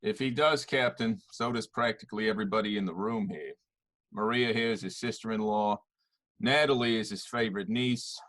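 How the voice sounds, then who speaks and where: A man's voice: on the low side, steady tone, fairly quick, a bit bright, dry recording, natural voice. A man playing a pointed, needling murder suspect in an amateur radio-style mystery.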